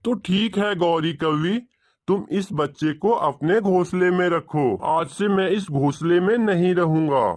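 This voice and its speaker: A man's voice: sits high, a little slow. A man voicing a proud, resentful adult male crow in a cartoon, speaking with dramatic emphasis. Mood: indignant.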